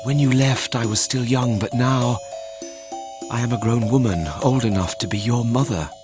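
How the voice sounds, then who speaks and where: A man voicing a gentle, motherly grown-up fairy in a children's storybook. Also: mid-range voice, normal speed, over music, voice pushed up, lightly acted. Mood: tender.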